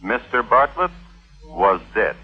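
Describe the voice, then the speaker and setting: An actor playing a droll, solemn crime storyteller, narrating with deliberate, dryly ironic delivery in an old radio drama. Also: male voice, lowish voice, sing-song, soft background music. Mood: deadpan, droll. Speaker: host and narrator. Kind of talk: 1950s radio scripted narration. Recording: muffled old radio recording.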